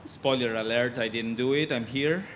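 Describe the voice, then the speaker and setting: A man from Argentina doing a stand-up set on a comedy club stage, with a halting delivery full of fillers. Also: medium pitch, background noise.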